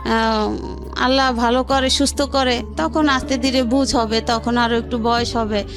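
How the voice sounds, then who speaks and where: A woman's voice: a little high, steady tone, measured pace, music in the background. A woman with a Bangladeshi accent telling her life story as an interview guest, in colloquial words and often relaying what others said. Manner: colloquial, narrates with reported dialogue.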